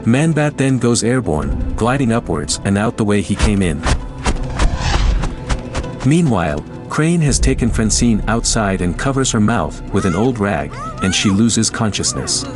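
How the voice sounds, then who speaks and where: A man's voice: on the low side, big pitch swings, a little slow, over music. A man narrating an action audio drama from a script.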